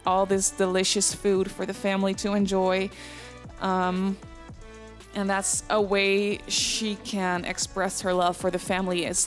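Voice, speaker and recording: A young woman with a Dutch accent, chatting casually over a game build, with frequent ums and soft laughs. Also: medium pitch. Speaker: Sims builder and YouTuber.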